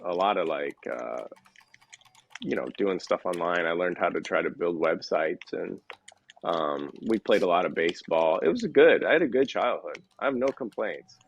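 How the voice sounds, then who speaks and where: A man's voice: low-pitched, expressive, normal speed, gravelly, muffled recording, a little hiss or hum. A man from the Midwest, a podcast guest, telling rambling, easygoing stories about his childhood in casual chat. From American Midwest.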